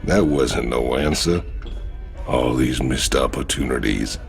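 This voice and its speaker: An actor playing a brash, crude male mercenary who teases his friend in a slow, gravelly drawl. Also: rumbling low, music in the background, exaggerated voice. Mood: teasing. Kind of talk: video game cutscene acting.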